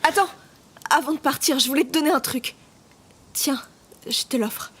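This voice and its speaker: Woman acting high-voiced, tough young combat mentor. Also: normal speed, a bit bright. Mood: affectionate. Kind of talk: audio drama acting.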